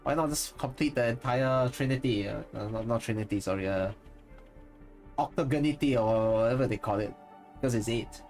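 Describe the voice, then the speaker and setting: A man's voice: mid-range voice, normal speed, darkish tone. Singaporean-accented male streamer, mid-range voice, thinks aloud and corrects himself, faint music underneath. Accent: Singaporean English. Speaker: streamer and content creator. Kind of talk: casual live-stream chat with viewers.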